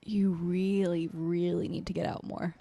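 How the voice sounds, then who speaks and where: A young woman playing an excitable, easily flustered student with a sarcastic streak in an audio drama, animated and prone to stumbling. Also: fairly low pitch, a little slow, creaky, no echo. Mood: dry, sardonic. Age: young adult. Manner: animated, stumbles over excuses.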